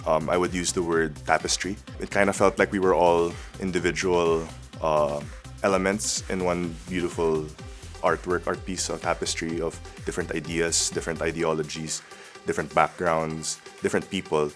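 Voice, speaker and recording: Young man, very deep voice, calm and deliberate, soft music behind. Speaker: graduate student. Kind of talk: interview testimonial.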